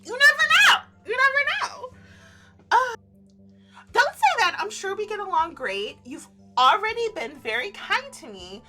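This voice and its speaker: High voiced lady doing a visual novel playthrough, super animated n playful, music behind her. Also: a little slow. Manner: playful, effusive. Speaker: streamer playing a visual novel. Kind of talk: expressive read-aloud with casual reactions.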